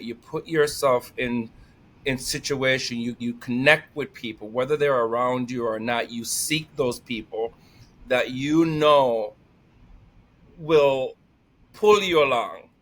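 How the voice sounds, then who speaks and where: A man with a Jamaican accent, a guest telling lively stories in conversation and laughing often. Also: mid-range voice, measured pace. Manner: energetic, animated, laughs often. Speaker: podcast guest. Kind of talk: storytelling conversation.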